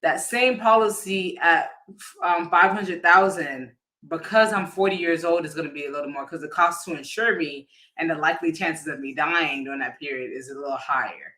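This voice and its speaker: Bright, halting insurance agent man. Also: on the high side, rough recording. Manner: hesitant, many fillers and restarts; addresses viewers informally. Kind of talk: casual livestream explainer.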